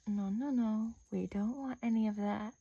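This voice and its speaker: A woman playing a playful, menacing vampire captor in a soft-spoken ASMR roleplay, often dropping to a whisper and teasing with sadistic relish. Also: mid-range voice, fairly even intonation, creaky, breathy edge, no echo, lo-fi sound. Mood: threatening. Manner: slow, teasing, with drawn-out pauses.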